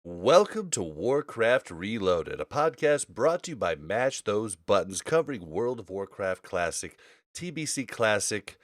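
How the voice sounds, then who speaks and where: A man's voice: on the low side, sing-song, unhurried, no room sound. A man hosting a casual gaming podcast, speaking conversationally into a close mic. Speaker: podcast host.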